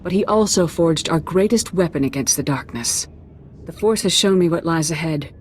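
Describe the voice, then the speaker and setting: Game council head: lowish voice, dignified, gracious, formal authority. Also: female voice, expressive, moderate pace, slight background noise. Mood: solemn. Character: dignified, gracious female Jedi council leader. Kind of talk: video game acting.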